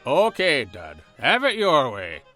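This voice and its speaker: A man's voice: a little high, wildly swooping pitch, slow, bright, forceful tone, faint music behind, exaggerated voice. A man voicing a crooked, bullying small-town deputy with folksy bluster in a horror radio play.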